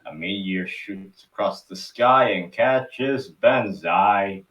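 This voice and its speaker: A man's voice: on the low side, slow, fairly bright tone, recorded from a distance. A man narrating stage directions for a cartoon table read in a scripted storytelling style.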